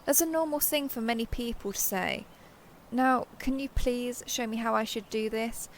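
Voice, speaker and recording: A woman's voice: fairly high pitch, moderate pace, slight background noise, lightly acted. A woman playing a sheltered young female alien, naive and obsessively devoted, talking earnestly and intimately to the listener in an audio roleplay. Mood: naive, defensive. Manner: earnest, wheedling, over-explaining.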